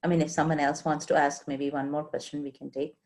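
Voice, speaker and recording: A woman's voice: deep voice, flat delivery, fast, heavy background noise. A woman organizing an online class, speaking politely and briefly over a video call.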